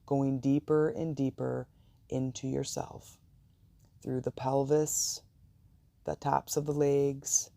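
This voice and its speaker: A meditation host with a soft, gravelly voice, guiding listeners calmly and soothingly with deliberate pauses. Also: medium pitch, no echo. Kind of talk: guided meditation and affirmations.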